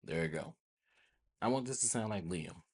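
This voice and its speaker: Deep, husky American man. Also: expressive, normal speed. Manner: informal, rambling, repeats check-in tags like "right?" and "okay?". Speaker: host of a tech tutorial channel. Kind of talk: casual software tutorial, talking to viewers.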